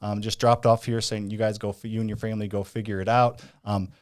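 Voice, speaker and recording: A man's voice: on the low side, fast. Hyped-up male interview host. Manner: energetic, hyped-up. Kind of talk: enthusiastic interview chat.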